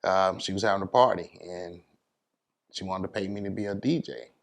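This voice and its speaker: A man's voice: low-pitched, moderate pace, vocal fry. A middle-aged American man chatting casually as an interview guest, telling his story in a laid-back, plain-spoken way.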